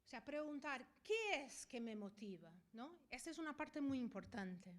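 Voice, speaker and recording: A middle-aged woman from Brazil, a Portuguese native speaker who speaks Spanish picked up in Spain, giving a conference talk as a veterinarian and professor, often checking in with a quick "ok?". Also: high-pitched, big pitch swings. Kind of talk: conference presentation.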